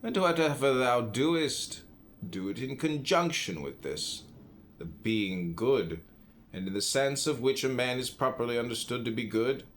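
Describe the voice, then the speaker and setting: A man from the United States reading a philosophical text aloud in a measured, deliberate way, phrase by phrase with pauses. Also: mid-range voice, animated, a bit bright, a little hiss or hum. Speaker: audiobook reader.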